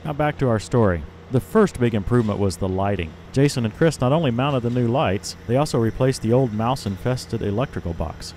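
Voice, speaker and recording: Host, male, mid-pitched, plain steady voice-over narration. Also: fairly quick, a little hiss or hum. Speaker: host of a farm and tractor channel.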